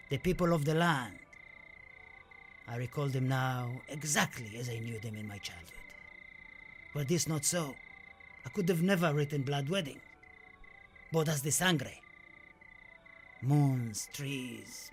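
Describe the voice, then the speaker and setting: An actor playing a passionate, wistful Spanish poet looking back on his life and death in a theatrical, declamatory radio play monologue. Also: mid-range voice. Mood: nostalgic, reverent. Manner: dramatic, declamatory, lingering over poetic phrases.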